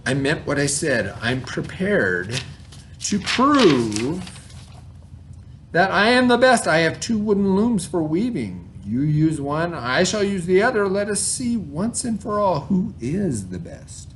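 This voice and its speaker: A proud young woman weaver from a children's storybook myth, read out by a man. The voice sits a little high for a male reader and speaks with feeling about her craft. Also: big pitch swings, slow, slight background noise. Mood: defiant, confident.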